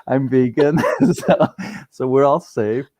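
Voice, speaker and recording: Mellow older man, close dry sound. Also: medium pitch, slow pace, dry recording. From Romania-born, raised in Cleveland, US. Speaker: interview guest, business founder. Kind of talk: conversational interview talk.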